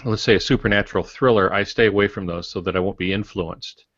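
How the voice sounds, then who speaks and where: A middle-aged man with a low voice talks casually on a writing podcast. He explains things in a measured way with dry humor, and the recording is a little dull. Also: steady tone, a bit bright. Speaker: podcast panelist, fiction writer. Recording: dull audio in echoey room.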